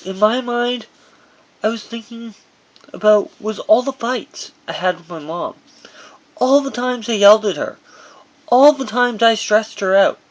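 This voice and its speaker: A man reading his own first-person memoir as audiobook narration, in a halting delivery that stumbles over words. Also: high voice, highs cut off.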